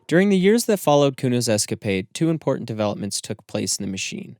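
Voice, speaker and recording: A man's voice: mid-range voice, big pitch swings, fast, darkish tone, dry recording. A man reading scripted literary narration as the narrator of an audiobook.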